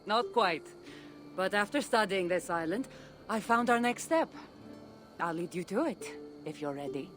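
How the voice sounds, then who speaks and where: A woman's voice: medium pitch, lively intonation, measured pace, pauses often, a bit bright, soft background music. An actress playing a seasoned female artifact hunter in a video game, wry and teasing yet solemn about her duty. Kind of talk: video game character dialogue.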